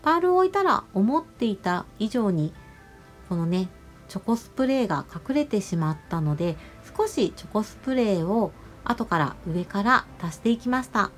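A woman nail artist narrating a tutorial voice-over, explaining each step politely and giving gentle advice. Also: mid-range voice, very animated, normal speed. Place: voice-over over close-up salon footage. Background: music.

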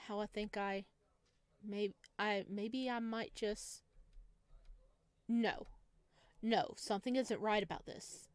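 A woman's voice: medium pitch, steady tone, takes it slowly, pauses often, no echo. A woman streamer reading a visual novel aloud expressively and dramatically, adding her own commentary, close to the microphone.

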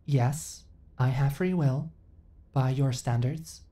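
A woman's voice: a bass voice, slow. A woman playing a female companion android in an ASMR audio roleplay, speaking in a stilted, literal, formal way, earnest and eager to serve. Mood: flat, hesitant. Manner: stilted, formal, literal, emotionally flat delivery.

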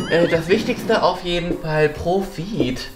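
A woman's voice: lowish voice, slow pace. A woman playing a greedy, scheming male teacher who mutters plans to himself and turns into a pushy salesman. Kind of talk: sketch comedy acting. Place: bright office room indoors. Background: background music.

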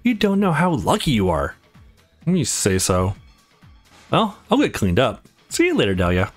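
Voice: medium pitch, wildly swooping pitch, moderate pace, no room sound. Narrator doing a humble big strong gym champ lady, polite, visual novel, music under it. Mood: gracious. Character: gracious, muscular champion woman, humble and encouraging.

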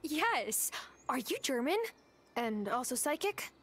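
Upbeat young newcomer, high voice, unhurried, music behind, game heroine. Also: female voice, sing-song, fairly bright tone, husky, heavily acted. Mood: curious. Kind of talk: video game character acting.

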